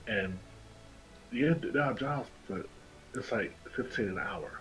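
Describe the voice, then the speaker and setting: A man's voice: on the low side, animated, a little slow, a bit bright, poor audio. A man in a casual voice chat, speaking bluntly and with a griping manner.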